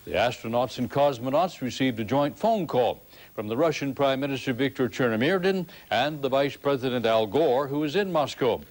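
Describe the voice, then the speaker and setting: A man reads the news in a measured, polished anchor delivery. He moves between scripted narration and conversational questions to his guest. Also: medium pitch, lively intonation. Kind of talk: broadcast news narration and interview.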